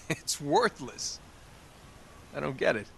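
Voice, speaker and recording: An actor playing a quick-witted, wary treasure hunter in his thirties with an American accent and a sarcastic, casual delivery in a video game. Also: fairly high pitch, sing-song, creaky, fairly bright tone, slight background noise, exaggerated voice. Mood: sarcastic, skeptical. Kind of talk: cinematic video game acting. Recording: music under the dialogue. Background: background music.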